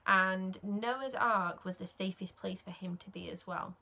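A woman in her thirties reading a bedtime story aloud to children, sing-song and expressive, stressing key words. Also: medium pitch, vocal fry. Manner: slow, sing-song rhyming delivery with emphasis on key words.

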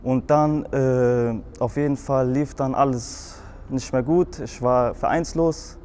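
A man's voice: mid-range voice, steady tone, takes it slowly, darkish tone, a little hiss or hum. A young man from Frankfurt with a Hessian accent, telling his own story in a rambling way, with many fillers and restarts. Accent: Hessian German. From Germany, Frankfurt. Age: young adult. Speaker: guest in a show video.

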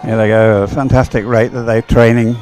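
A male sports commentator with a mid-pitched voice calls a race live. He speaks in short, unhurried phrases, often halting briefly before carrying on. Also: level tone.

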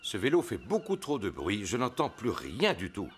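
A man playing a bumbling, good-natured and lazy daddy pig in an animated children's cartoon, with exaggerated, expressive delivery. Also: lowish voice, moderate pace, soft background music. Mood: good-natured, sheepish.

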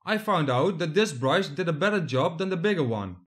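Young guy from the netherlands talking thru his diy build, kinda high voice. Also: expressive, fairly quick, a bit bright. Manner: plain, step-by-step explaining. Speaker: hobby builder narrating his project. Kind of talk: scripted voice-over narration.

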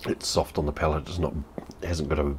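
A man's voice: rumbling low, flat delivery, fast, darkish tone, a little hiss or hum. A New Zealand man in his fifties reviewing beer at home on camera, blunt and sweary, repeating himself as he talks. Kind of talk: casual vlog review. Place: home room with TV behind.

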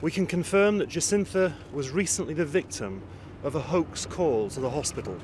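A man's voice: a little high, expressive, normal speed. A middle-aged man with a British accent reading a formal prepared statement to the press as a spokesman, outdoors on a street. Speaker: hospital chief executive, spokesman. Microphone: cluster of press microphones. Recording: clear outdoor street noise.

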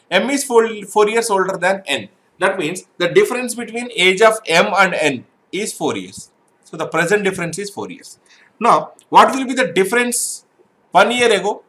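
A man's voice: high-pitched, sharp tone. A middle-aged man teaching an exam-prep math lesson online, explaining step by step and often checking "right?" with his students.

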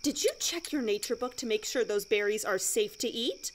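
A woman's voice: on the high side, expressive, moderate pace, slight background noise, close to an everyday voice. An actress playing a relentlessly cheery, blithely macabre female scout leader in an audio drama, chirpy and instructing.

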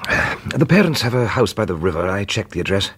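A man's voice: fairly low pitch, very animated, quick pace, fairly bright tone, husky, heavily acted. An actor playing a courteous, practical, slightly hesitant male academic companion in a radio drama. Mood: matter-of-fact.

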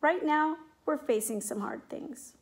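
A middle-aged woman teacher speaking in simple, clear phrases to young children, as in a read-aloud or classroom demonstration. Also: high-pitched, a little slow. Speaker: teacher making videos for pupils. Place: indoors at home, living room and kitchen. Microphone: device mic at about arm's length.

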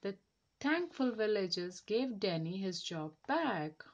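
A woman reading a children's story aloud in a careful, deliberate and expressive way. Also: medium pitch. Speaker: storybook reader.

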